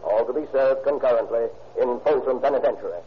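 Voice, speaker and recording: An actor playing a judge who hands down a sentence in a formal, measured tone, in 1930s radio drama style. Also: male voice, medium pitch, natural voice. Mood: grave. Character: grave judge passing sentence. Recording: dull 1930s radio recording with faint hiss.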